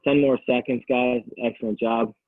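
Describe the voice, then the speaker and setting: Calm male coach on tinny audio. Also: mid-range voice, normal speed, no room sound. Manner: calm coaching, counting down holds; soothing voice for stretching. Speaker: fitness coach. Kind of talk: guided stretching class. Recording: tinny, dry phone-like audio.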